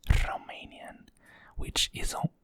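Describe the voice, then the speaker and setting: Slow soft ASMR whisperer from Portugal. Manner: soft whispering, slowly repeating each phrase. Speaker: ASMR creator.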